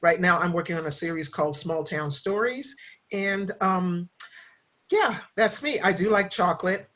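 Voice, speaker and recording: A woman's voice: on the low side, expressive, moderate pace, fairly bright tone. A woman speaking conversationally as a guest writer on a podcast, introducing herself and her work.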